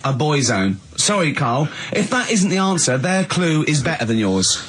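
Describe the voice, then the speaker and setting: A man's voice: on the high side, big pitch swings, normal speed, a bit bright, slightly rough. A man hosting a comedy radio show, bantering and teasing casually.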